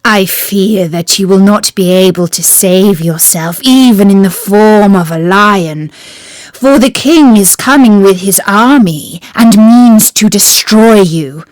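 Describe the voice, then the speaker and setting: A woman's voice: medium pitch, takes it slowly, no room sound, lightly acted. A woman voicing a clever, polite male cat in a storybook, speaking courteously and persuasively.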